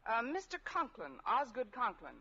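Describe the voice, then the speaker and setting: An American actress playing a wry, wisecracking schoolteacher with dry, sardonic delivery in an old-time radio comedy. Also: female voice, a little high, huge pitch swings, normal speed, sharp tone, slightly rough, exaggerated voice. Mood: brisk. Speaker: radio sitcom lead actress. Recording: muffled, noisy old radio recording.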